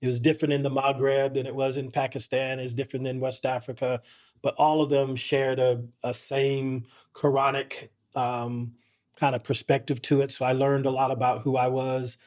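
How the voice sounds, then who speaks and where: A man's voice: medium pitch, flat delivery, darkish tone. Earnest middle-aged man, reflective storytelling. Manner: earnest, measured, with frequent restarts. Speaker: podcast guest.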